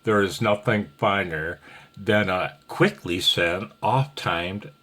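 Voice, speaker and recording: A man's voice: deep voice, takes it slowly, sharp tone. A man giving a casual, rambling amateur radio tutorial in a folksy, self-deprecating, slightly nervous way.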